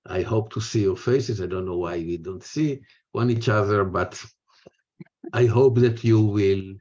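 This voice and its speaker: An older man with an Israeli accent delivers a prepared lecture over a video call. His voice is mellow and relaxed, and he moves in deliberate, measured phrases. The audio is dull and lo-fi. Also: fairly low pitch. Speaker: speaker, retired history professor. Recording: muffled, lo-fi webinar audio.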